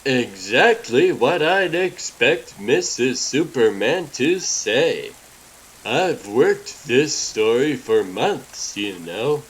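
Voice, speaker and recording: A man puts on a pushy comic-book blogger who is pleased with himself. He talks slowly and deliberately at a middling pitch. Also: sing-song, breathy edge, heavily acted, poor audio. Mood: smug. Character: Vic Barnes, smug, pushy male blogger. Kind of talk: comic-book dub acting.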